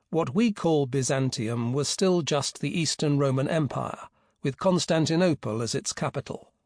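A man giving a measured, precise scripted narration of a history book as an audiobook narrator, pronouncing foreign names with care. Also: fairly high pitch, no room sound, studio quality.

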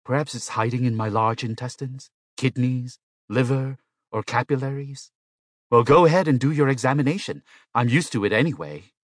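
A man's voice: mid-range voice, little pitch movement, normal speed, no room sound, clean studio sound, pitched above the performer's own voice, natural voice. Man acting an agitated hospital patient, voice pushed upward. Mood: weary, sardonic. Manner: pleading, agitated, indignant. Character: anxious, protesting male hospital patient, weary of examinations.

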